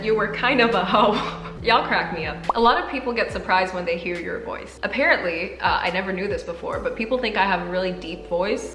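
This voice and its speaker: Candid young woman vlogging in an echoey room. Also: on the high side. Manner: candid, chatty, laughs easily. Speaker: YouTuber. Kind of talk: casual vlog, reading and answering viewer assumptions.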